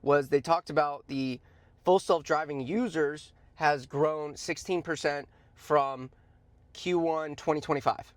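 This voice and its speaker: A man's voice: fairly high pitch, fairly even intonation, a little slow, no room sound. A man giving informal, opinionated commentary in a blunt, emphatic, conversational way, with slangy asides and points repeated for stress. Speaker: host of a stock commentary show.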